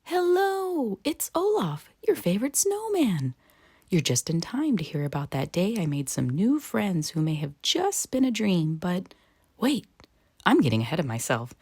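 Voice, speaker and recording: A woman's voice: medium pitch, sing-song, a little slow, a little mellow, breathy edge, no room sound, studio quality, exaggerated voice. A woman voicing a cheerful, naive, chatty little male snowman who tells his storybook tale to children with wide-eyed exclamations. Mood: excited, friendly. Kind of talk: animated children's storybook read-aloud.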